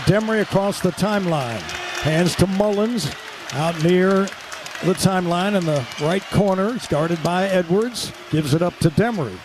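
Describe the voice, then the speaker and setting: High-pitched excitable man calling basketball. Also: noisy background. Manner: excitable, shouts on big plays. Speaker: play-by-play sports commentator. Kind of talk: live radio basketball play-by-play.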